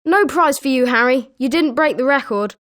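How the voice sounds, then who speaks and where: An eager British schoolboy wizard voiced by a woman for a video game, pitched high. The delivery is chirpy and emphatic, swinging widely in melody, with a friendly competitive edge. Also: animated, normal speed, fairly bright tone. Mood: consoling. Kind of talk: scripted video game character lines.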